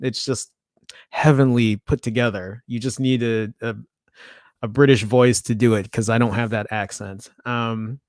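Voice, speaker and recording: A man's voice: mid-range voice, steady tone, unhurried, darkish tone, no echo, clean studio sound. Chatty Chicago-accented man reading tech news. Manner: reads article aloud with chatty asides and stumbles. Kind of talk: casual tech news commentary.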